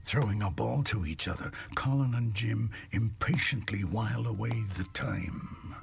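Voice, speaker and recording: A man narrating a scripted sci-fi audio drama in a grave, measured, solemn manner. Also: lowish voice, creaky.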